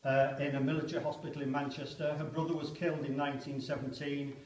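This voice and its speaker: A man's voice: on the high side, level tone, fast, darkish tone, slight background noise. A man giving a spoken introduction to a poem reading at a church service. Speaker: reader at a church vigil service. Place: parish church. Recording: echoey church, distant microphone.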